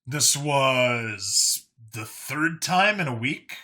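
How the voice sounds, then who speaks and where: A man's voice: medium pitch, lively intonation, drawn-out words, bright, forceful tone. A man reading a scripted story as an audiobook narrator.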